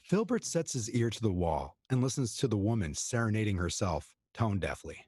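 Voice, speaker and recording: A man's voice: fairly low pitch, sing-song, moderate pace, warm tone, breathy edge, dry recording, clean studio sound. A man reading stage directions as the narrator of a scripted play.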